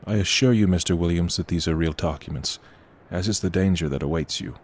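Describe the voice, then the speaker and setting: Man playing a very deep, ominous haunted-inn agent. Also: slight background noise, close to an everyday voice. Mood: ominous warning. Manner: formal, measured, ominous. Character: grave, formal male representative of a haunted inn. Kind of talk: audio horror drama acting.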